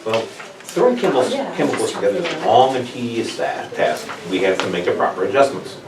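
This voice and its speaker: A man's voice: on the low side, wildly swooping pitch, fairly quick, crowd noise. A man playing an evasive, placating captive scientist who explains himself, in an amateur table read. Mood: placating, stalling. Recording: echoey room, distant mic, noisy background.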